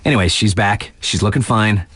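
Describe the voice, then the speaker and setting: An actor playing a laid-back but excitable young radio DJ in a video game, hyping snowboard competitors in playful, conversational slang. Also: male voice, on the low side, normal speed, husky, no room sound. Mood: upbeat, casual. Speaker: radio DJ and sports announcer.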